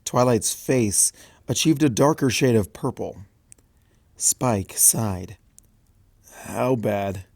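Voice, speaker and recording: A man reading a story aloud, narrating in an expressive, animated way. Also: mid-range voice, slow, no room sound. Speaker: fanfic reader.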